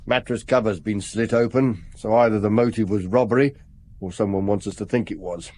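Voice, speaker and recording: An actor playing a brisk, matter-of-fact local police officer in a radio drama, businesslike with a wry edge. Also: male voice, fairly low pitch, fairly even intonation, darkish tone, natural voice.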